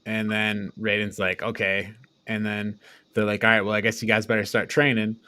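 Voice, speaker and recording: A man's voice: fairly low pitch, little pitch movement, moderate pace, pushed and bright, dry recording. A man co-hosting a podcast, retelling a film in casual, rambling, sweary chat with frequent chuckles. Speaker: podcast co-host.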